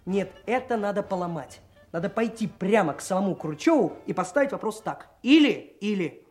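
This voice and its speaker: An actor playing a brash, self-assured young police trainee, chatty and colloquial, in a radio drama. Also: male voice, high voice, expressive, normal speed, faint music behind. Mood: eager, breezy. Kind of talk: Soviet radio drama dialogue.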